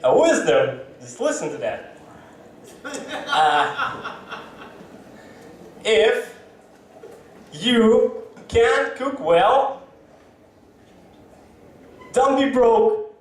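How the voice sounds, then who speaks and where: A man doing a stand-up set at an open mic, swearing and animated as he tells a story. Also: extremely high pitch, extremely slow, long pauses, recorded from a distance, a little hiss or hum. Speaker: stand-up comedian. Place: comedy club stage. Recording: echoey live room, heard from afar.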